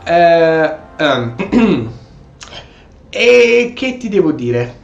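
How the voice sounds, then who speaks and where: A man's voice: fairly high pitch, extremely slow, gravelly, echoey room, dull audio, soft background music. A man giving casual, reactive commentary as he plays a video game. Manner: animated, reactive. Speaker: gameplay streamer.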